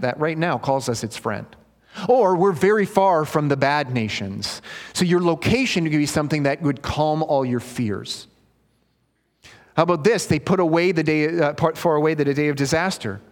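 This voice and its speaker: A male pastor preaching a sermon, reading scripture and then explaining it in an earnest, conversational way. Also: fairly high pitch. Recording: long hall reverb.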